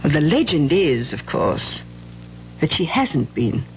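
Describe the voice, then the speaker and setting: A genteel, gossipy teller of ghost legends in a radio drama. Her voice is fairly low and very animated, on a muffled old recording. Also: takes it slowly, soft background music, heavily acted. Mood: gossipy, relishing. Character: chatty, genteel guide relishing a ghost legend. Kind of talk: 1970s radio drama acting.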